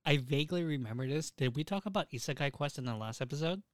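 A man's voice: on the high side, animated, quick pace, dry recording, clean studio sound. A young man hosting a podcast, talking informally with plenty of slang. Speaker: podcast host. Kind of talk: casual podcast chat.

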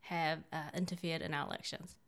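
A woman's voice: low voice, fairly even intonation, fairly quick, fairly bright tone. A woman from China co-hosting a conversational political talk show, speaking in an emphatic, opinionated way. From born in China.

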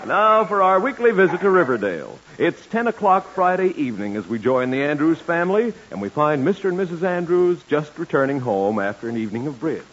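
A man's voice: fairly high pitch, very animated, a little fast, few pauses, fairly bright tone, dull audio. A man announcing a 1940s radio show in period broadcast style, on a very noisy old recording.

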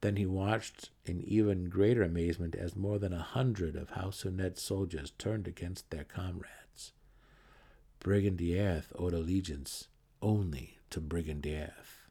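A man's voice: sits low, steady tone, a little slow, warm tone, slightly rough. A man reading a fantasy novel aloud as a dramatic audiobook narrator.

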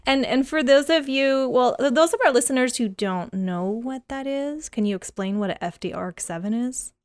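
A woman hosting a podcast in a casual, giggly, expressive, informal interview style. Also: high voice, normal speed, clean tone, dry recording, studio quality. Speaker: podcast host.

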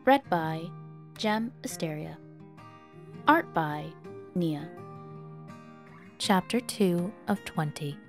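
A woman reading fiction aloud as a dramatic, expressive and emotive narrator. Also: lowish voice, very slow, pauses often, faint music behind. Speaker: fan fiction audiobook reader.

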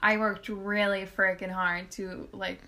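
A young woman from Canada, born in Quebec with French as her first language, chatting casually as an interview guest, animated, with many "like" fillers. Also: mid-range voice, a little slow. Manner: animated storyteller, many "like" fillers, laughs often. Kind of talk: casual conversation.

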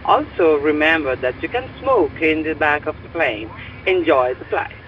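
Un actor que interpreta un anuncio formal de cabina de avión en una radionovela. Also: male voice, fairly high pitch, expressive, a little slow, muffled recording, a little hiss or hum. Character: formal airline cabin announcer. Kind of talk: scripted flight announcement.

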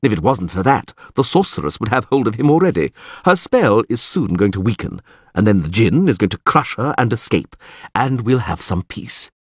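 A sardonic bald priest who thinks he knows better than everyone, put on by a male narrator. His husky voice lectures with scorn and jumps between high exclamations and low, dry asides. He sounds close and dry. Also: very animated, normal speed, no room sound. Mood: scornful.

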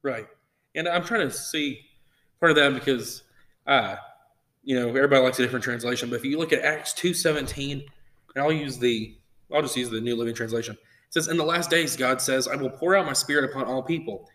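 Fast-talking young man, age twenty. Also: mid-range voice, a bit bright. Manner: eager, explanatory, many uh fillers. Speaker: podcast co-host.

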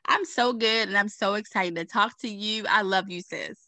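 A woman's voice: mid-range voice, moderate pace, sharp tone, dry recording. A young woman from Baltimore hosting a podcast interview in a casual, affirming way, laughing often. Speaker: podcast host.

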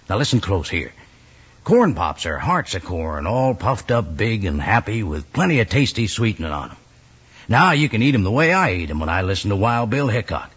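An actor playing a folksy, enthusiastic cowboy sidekick who pitches a product in a 1950s radio advertisement, with an American accent. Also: male voice, fairly bright tone, slightly rough, heavily acted. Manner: chatty, drawling, folksy. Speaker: commercial announcer. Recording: muffled old radio recording.

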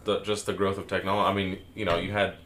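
A man's voice: sits low, level tone, a bit bright, a little hiss or hum. A young man hosting a casual interview, listening closely and dropping short replies. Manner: attentive, quick short back-channel replies. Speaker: podcast host.

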